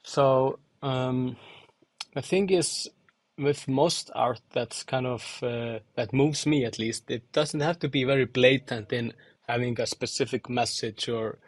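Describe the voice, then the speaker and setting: Young guy from iceland and angola, slow talker, lots of uhs, pretty dry sound. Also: medium pitch, dry recording. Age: young adult. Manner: hesitant, many fillers and restarts. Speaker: podcast guest, musician and designer. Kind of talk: reflective interview answers.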